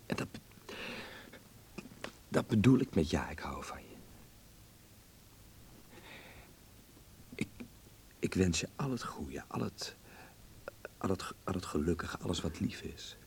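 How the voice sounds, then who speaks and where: Radio drama role: a gentle, wry young man, low creaky voice, halting tender delivery, noisy rumbling recording. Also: very animated, exaggerated voice. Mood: tender, hesitant. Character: gentle, teasing young man devoted to his work. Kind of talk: Dutch radio play acting.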